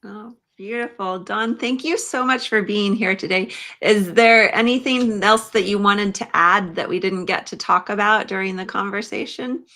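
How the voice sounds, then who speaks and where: Female interview host, crisp bright tone with noticeable vocal fry, informal livestream delivery. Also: medium pitch, steady tone, moderate pace, few pauses. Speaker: podcast host.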